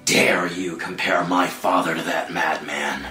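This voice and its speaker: Stern vigilante hero , male actor: indignant, deep, hard-edged delivery, music underneath. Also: fairly even intonation, a little slow. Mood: bitter anger. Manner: forceful, indignant. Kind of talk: dramatic screenplay table read. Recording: roomy sound with music underneath.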